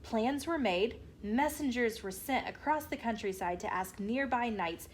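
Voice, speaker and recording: A woman narrates a children's story in a fairly high voice. Her pace is slow and deliberate, with the expressive delivery of a picture-book read-aloud. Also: slight background noise.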